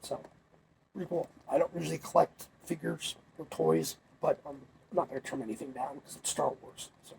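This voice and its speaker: Gravelly young man, congested. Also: a little high, normal speed, a little hiss or hum, low-quality recording. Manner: relaxed, rambling, many fillers and restarts. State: congested, recovering from a cold. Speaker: vlogger. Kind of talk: casual chat.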